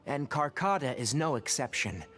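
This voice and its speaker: A man playing a stern, dry, scholarly young forest ranger in a video game, speaking in an articulate, measured way. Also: medium pitch, sing-song, fairly bright tone. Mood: calm, earnest. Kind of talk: scripted video game voice acting.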